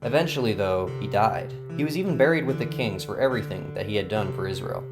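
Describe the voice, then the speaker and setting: A man's voice: lowish voice, animated, quick pace. Male Bible story narrator over guitar music. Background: plucked guitar music.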